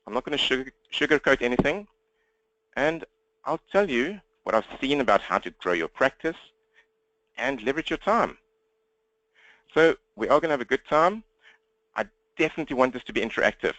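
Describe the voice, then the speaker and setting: A man's voice: mid-range voice, sing-song, fairly bright tone, no echo, lo-fi sound. A man with a South African accent giving a live webinar training from his laptop, upbeat and direct. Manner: fast-talking, upbeat and direct. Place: at home desk speaking to laptop.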